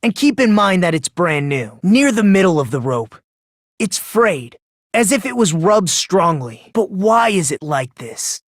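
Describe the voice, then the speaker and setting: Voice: medium pitch, big pitch swings, measured pace, dry recording, studio quality. An actor voicing a polite but unsettling teenage boy in a video game, self-deprecating with a smug, condescending streak. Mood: calmly explaining.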